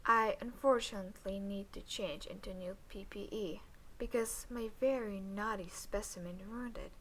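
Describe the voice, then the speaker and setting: A woman's voice: fairly high pitch, a little hiss or hum, lightly acted, rough recording. A woman playing a detached female alien scientist in an audio roleplay, speaking clinically with playful hums and asides to the listener. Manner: clinical log-keeping, drawn-out hesitant hums and asides.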